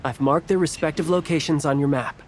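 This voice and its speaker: An actor playing a composed, polite guild agent in a video game. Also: male voice, fairly high pitch, brisk, a little hiss or hum, lightly acted. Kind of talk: video game character acting.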